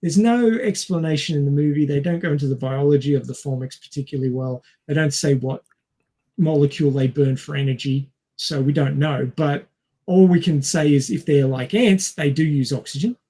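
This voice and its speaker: A man's voice: a little high, a little fast, warm tone, breathy edge. A man co-hosting a podcast, explaining science informally in a didactic manner. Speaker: podcast co-host.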